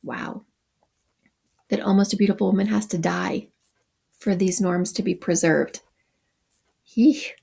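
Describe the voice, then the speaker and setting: A woman's voice: mid-range voice, fairly even intonation, a little slow, gravelly, pauses often, warm tone, slightly rough. A woman teacher reading a text aloud to her students, stopping for wry asides and explanations. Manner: reads passages then adds wry asides.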